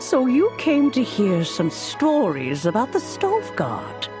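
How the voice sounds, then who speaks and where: A woman voicing a kindly, serene old female master in a video game, with a gently amused, unhurried manner. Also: a little high, wildly swooping pitch, over music, heavily acted.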